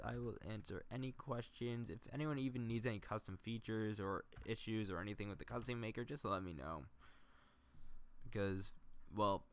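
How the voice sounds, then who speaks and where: A man talking casually on a livestream, explaining his editing as he goes and muttering to himself between explanations. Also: fairly low pitch. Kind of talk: casual livestream tutorial, thinking aloud while editing.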